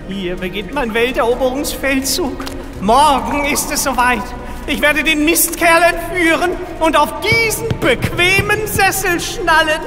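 An actor playing a vengeful, megalomaniac nerd supervillain in a comic radio play, ranting pompously and theatrically. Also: high voice. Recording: long reverb, music behind.

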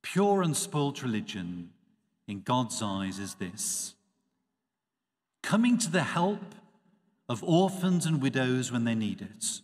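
A man, a priest giving a measured, expressive Sunday homily at a church lectern. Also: mid-range voice. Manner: measured, expressive preaching with dropping phrase ends. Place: church sanctuary. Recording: reverberant church acoustics.

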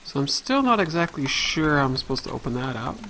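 A man's voice: medium pitch, sing-song, a little fast, a bit bright, a little hiss or hum, low-quality recording. A man hosting a let's play, chatting casually and thinking aloud as he plays. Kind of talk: casual gameplay commentary.

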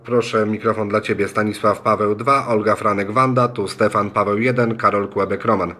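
A man's voice: lowish voice, fairly even intonation, normal speed, sharp tone. A man in a radio club room, speaking in a friendly, slightly hesitant way as he talks on the radio. He spells out call signs. His voice is picked up by a phone lying in the room. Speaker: ham radio student operator. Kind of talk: amateur radio contact. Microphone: phone recording in the room.

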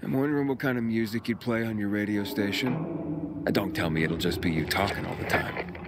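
A man's voice: lowish voice, fairly quick, gravelly, noisy background. Defiant young male survivor. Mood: firm, wry. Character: determined, defiant young survivor searching for his sister. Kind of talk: dramatic video game acting.

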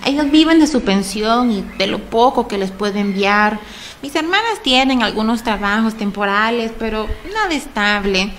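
A woman playing a feisty, warm-hearted migrant from Honduras in a radio drama, earnest and expressive. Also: a little high, normal speed, slight background noise. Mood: earnest, sad.

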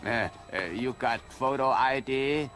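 An actor playing a jolly, eccentric older underground surgeon in a video game, speaking clipped broken English. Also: male voice, medium pitch, measured pace, fairly bright tone, faint music behind, natural voice. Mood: wry, cheerful.

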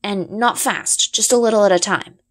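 A woman's voice: mid-range voice, moderate pace, voice pushed up, lightly acted. A woman voicing an easygoing, dry-humored young boy in a storybook, explaining things casually and a bit hesitantly. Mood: patient explaining.

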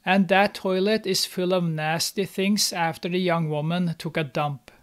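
A man's voice: high voice, fairly even intonation, unhurried. A young man hosting a movie recap, narrating the plot with snarky, mocking commentary. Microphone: studio mic, on camera.

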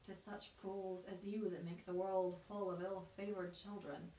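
An actress playing a haughty, witty young Shakespearean heroine, delivering verse with mocking, deliberate stage emphasis. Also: female voice, lowish voice, level tone, warm tone, natural voice. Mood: scolding, withering. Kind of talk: classical stage monologue in verse. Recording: roomy echo, distant mic, faint noise.

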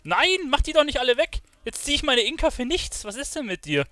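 A man's voice: extremely high pitch, very animated, brisk, sharp tone. A man streaming a video game, thinking aloud in informal commentary sprinkled with English gamer slang. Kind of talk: casual live gaming commentary.

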